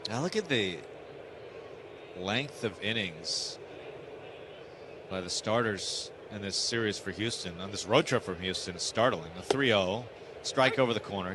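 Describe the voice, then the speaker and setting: A man's voice: on the low side, noisy background. A man doing live radio play-by-play commentary of a baseball game from a broadcast booth.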